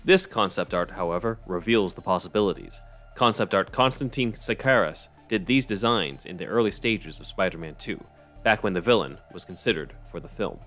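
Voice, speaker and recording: A man delivers scripted narration as a podcast co-host. His voice sits low in pitch. Music plays faintly behind him. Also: normal speed, fairly bright tone.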